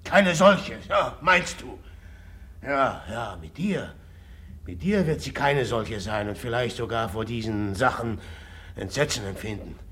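A man's voice: mid-range voice, lively intonation, unhurried, a bit bright, slightly rough. An actor playing a volatile, jealous man tormented by love in a radio drama, speaking bitterly in brooding, broken phrases. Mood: agitated, bitter. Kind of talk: classic German radio drama acting.

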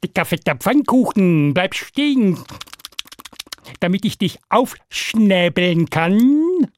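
A man's voice: high-pitched, big pitch swings, slow pace, no room sound, pitched above the performer's own voice, heavily acted. A man voicing a hungry, bossy goose in a storybook tale, raised and insistent.

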